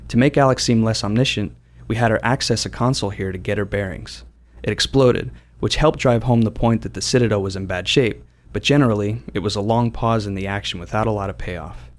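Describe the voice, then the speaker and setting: A man's voice: on the low side, a little fast. A man who works as a game developer, delivering prepared commentary on design decisions. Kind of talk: scripted developer commentary narration.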